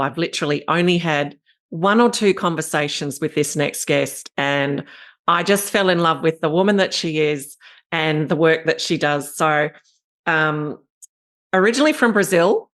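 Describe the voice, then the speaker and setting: A woman's voice: low voice, a bit bright. A woman hosting a podcast, chatting in a rambling, effusive way as she introduces and questions her guest. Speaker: podcast host and shamanic leadership coach. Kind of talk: casual interview chat.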